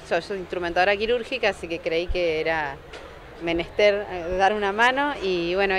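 A woman in her forties with an Argentine accent, a surgical technologist speaking calmly in a street news interview, often restarting her words. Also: medium pitch. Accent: Argentine Spanish. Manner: calm, measured, with frequent restarts. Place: city sidewalk outdoors. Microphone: handheld reporter microphone. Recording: faint street noise behind.

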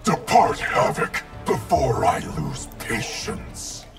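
A man voicing a grim, proud wraith ninja in a video game, gravelly and slowly threatening. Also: mid-range voice, wildly swooping pitch, exaggerated voice. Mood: impatient contempt. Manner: slow, deliberate, threatening delivery. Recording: music playing behind.

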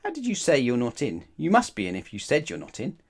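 A man voicing an excitable, insistent young boy who is loud and exasperated, as in a children's story. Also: medium pitch, brisk, creaky, breathy edge, voice pushed up, heavily acted.

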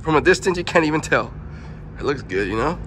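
A man's voice: a little high, big pitch swings, brisk, noisy background. A young man vlogging from inside his truck in a car wash, talking to viewers with nervous yelps and laughs on a handheld phone. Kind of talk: casual vlog commentary. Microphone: handheld phone camera.

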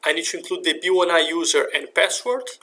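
A man narrating a software demonstration in a step-by-step, instructional way. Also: a little high, lively intonation, quick pace. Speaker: software demo presenter. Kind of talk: scripted software tutorial narration. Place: screen recording voice-over.

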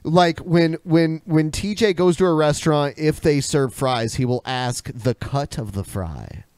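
A man's voice: a little high, measured pace, darkish tone, clear, resonant tone, dry recording. A man co-hosting a podcast in casual conversation. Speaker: podcast co-host. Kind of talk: casual chat. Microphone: close podcast microphone on a video call.

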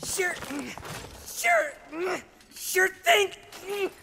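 Woman as foolish young rookie warrior, high voice, swinging widely, boastful, cartoon parody, music bed. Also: very animated, drawn-out words, bright, forceful tone, slight background noise, exaggerated voice. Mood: defensive, upbeat. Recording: music bed under the dialogue.

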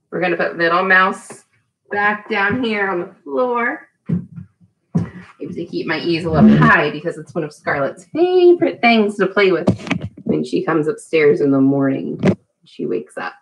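A woman's voice: fairly low pitch, fairly bright tone, muffled recording. A woman in her thirties leading a children's storytime, speaking encouragingly and asking simple questions as a preschool teacher. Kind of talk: children's storytime lesson with songs. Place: small room at home.

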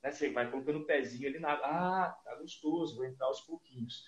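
A man's voice: mid-range voice, fast. A man in his thirties with a Brazilian accent, a guest investment analyst telling stories animatedly, with a headset on a video call. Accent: Brazilian Portuguese. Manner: animated storytelling, acting out dialogues. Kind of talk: casual podcast interview. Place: small room with purple lighting. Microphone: headset over video call. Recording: echoey lo-fi video call audio.

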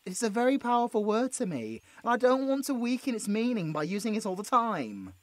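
Man acting a jittery, high-voiced British monster hunter. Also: big pitch swings, brisk, dry recording. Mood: panicked, stammering. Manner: stammering, pleading, with muttered sarcastic asides. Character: frightened, sarcastic injured young monster hunter, placating his captor. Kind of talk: audio roleplay acting.

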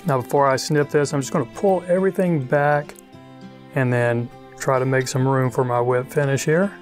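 A middle-aged man narrating a fly tying tutorial in a relaxed, chatty way, thinking aloud as he works. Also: mid-range voice, moderate pace, soft background music. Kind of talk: casual instructional narration. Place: outdoors on a wide river.

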